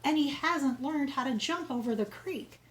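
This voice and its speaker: A woman's voice: high-pitched, normal speed, pitched above the performer's own voice, natural voice. A woman voicing a polite, frank young schoolgirl in a matter-of-fact way for a children's storybook. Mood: matter-of-fact, candid. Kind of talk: children's storybook read-aloud.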